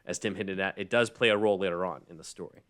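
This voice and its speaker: Low-voiced man hosting a casual chat. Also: steady tone, fast talker, a bit bright, clear, resonant tone. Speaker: podcast host. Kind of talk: casual chat with read-aloud summary.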